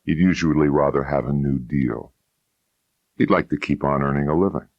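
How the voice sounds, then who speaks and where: Male reader as cool private eye, extremely low voice, wry, laconic, unruffled, dry close sound, noir audiobook. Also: normal speed, dry recording, dull audio. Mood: measured, defiant. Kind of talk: first-person noir audiobook dialogue.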